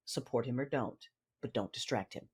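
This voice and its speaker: An actress playing a cold, commanding Sith mother who narrates her own story in a measured, menacing, controlled manner. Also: a bass voice, darkish tone, breathy edge, heavily acted. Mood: calculating, controlled. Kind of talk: first-person audio drama narration with dialogue. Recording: music under the voice.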